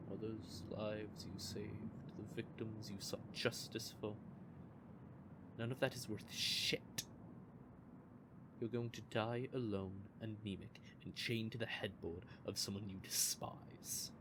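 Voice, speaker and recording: A man's voice: lowish voice, fairly even intonation, slow, noisy background. A man playing a bitter, sardonic male vampire who curses and mocks. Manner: cursing, mocking, gravelly. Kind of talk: audio drama roleplay.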